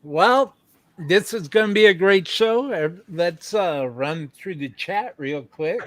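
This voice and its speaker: Slow-talking upbeat livestream host man. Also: high voice, expressive, fairly bright tone, no room sound. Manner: upbeat, reads out chat messages, laughs often. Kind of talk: casual live-stream interview chat.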